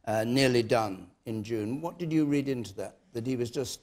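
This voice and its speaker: A man chairing a panel debate in front of an audience, briskly interrupting and keeping speakers in order. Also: mid-range voice, darkish tone. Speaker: host moderating a panel debate. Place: large hall with studio audience. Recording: audience murmur and background noise.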